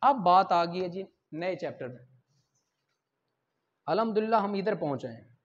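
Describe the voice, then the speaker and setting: High-pitched Pakistani male law lecturer, muffled recording. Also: expressive, moderate pace. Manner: informal, switches into English to read out legal text; asks questions and answers them himself. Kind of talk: classroom lecture, explaining articles point by point.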